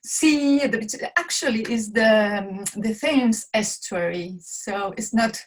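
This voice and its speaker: Slow-speaking woman. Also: fairly high pitch, low-quality recording. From Chile. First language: Spanish. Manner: halting, searching for words in a second language. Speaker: guest. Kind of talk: casual interview chat.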